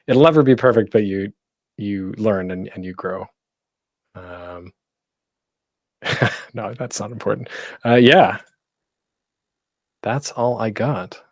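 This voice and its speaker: A man's voice: on the low side, slow pace, pauses often. A man presenting a casual online panel as a podcaster, speaking off the cuff in a rambling, self-deprecating, jokey way.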